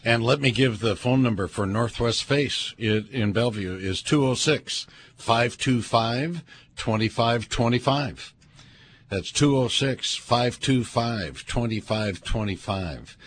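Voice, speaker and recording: A man's voice: medium pitch, lively intonation, slow, fairly bright tone, a little hiss or hum. A man hosting a live radio show, giving announcements and signing off in a conversational way.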